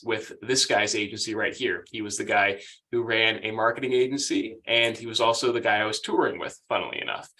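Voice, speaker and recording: A man's voice: medium pitch, pushed and bright. A young man with an American accent giving a chatty, upbeat webinar presentation as the guest speaker. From United States. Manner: upbeat, chatty, laughs often.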